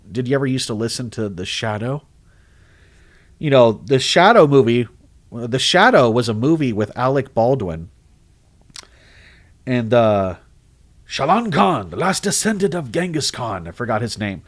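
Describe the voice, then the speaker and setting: A man talks casually to his viewers on a livestream, rambling from one thought to the next. He restarts his sentences often and keeps an animated, chatty energy. His voice sits at a middle pitch. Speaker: horror story narrator and streamer. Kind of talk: casual livestream chat reading comments.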